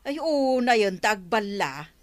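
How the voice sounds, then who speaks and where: An actress with an Ilocano accent playing a sassy, chiding village woman in a radio comedy drama, expressive in delivery. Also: medium pitch, unhurried. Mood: scolding. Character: sassy village woman, teasing and scolding debtors.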